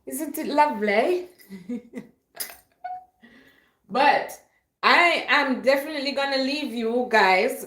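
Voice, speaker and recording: A woman's voice: fairly high pitch, a bit bright. A woman chatting casually and playfully to her livestream viewers, rambling from one thing to the next. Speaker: livestream host. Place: indoor room at home. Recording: roomy, echoey home livestream.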